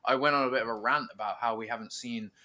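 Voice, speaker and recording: Bright-voiced man in casual podcast chat. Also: medium pitch, fairly even intonation, quick pace. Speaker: podcast host. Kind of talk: casual film fan discussion.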